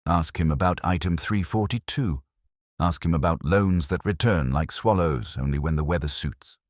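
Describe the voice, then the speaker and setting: A man voicing a belligerent, pompous church organist in an audiobook mystery, sharp and disdainful in manner. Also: sits low, normal speed, no room sound, studio quality. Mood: belligerent, indignant. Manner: sharp, snapping, disdainful, theatrical.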